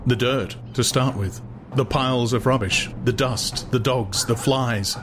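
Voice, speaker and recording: A man's voice: medium pitch, a little slow. A man narrating a literary audiobook in a dramatized storytelling style. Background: music.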